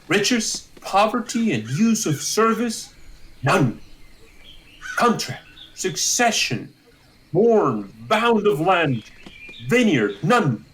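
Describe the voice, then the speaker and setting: A man playing a kindly, talkative, dignified old counsellor in a Shakespeare audio drama, with a deliberate, declamatory delivery. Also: sits high, very animated, fairly bright tone, a little hiss or hum, heavily acted, rough recording. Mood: visionary, expansive.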